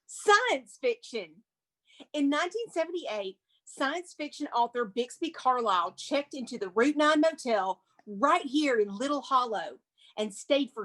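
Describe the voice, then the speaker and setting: A woman's voice: on the high side, a bit bright. A woman presenting as a museum curator and reading a script, with theatrical, emphatic, dramatic narration.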